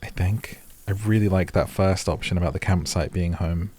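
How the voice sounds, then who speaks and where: A man's voice: low voice, moderate pace, mellow. A man doing cozy, casual game commentary, musing and rambling as he plays. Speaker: video game streamer.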